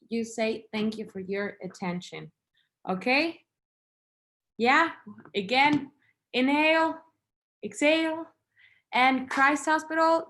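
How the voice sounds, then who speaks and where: Slow, instructive woman moderator. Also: on the high side, fairly bright tone. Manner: instructive, encouraging. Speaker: competition moderator. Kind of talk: moderating an online contest.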